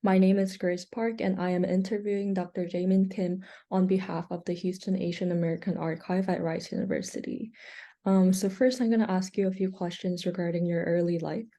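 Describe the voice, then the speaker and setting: Mellow-voiced woman interviewer. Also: lowish voice, steady tone, brisk. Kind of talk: oral history interview. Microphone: video call.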